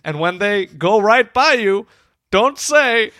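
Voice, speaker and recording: A man riffs with his co-hosts in a comedy podcast, needling them in playful, quick bursts. His voice is bright and a little high, and the sound is dry and close. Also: lively intonation, no room sound. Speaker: podcast co-host. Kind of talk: casual comedic banter.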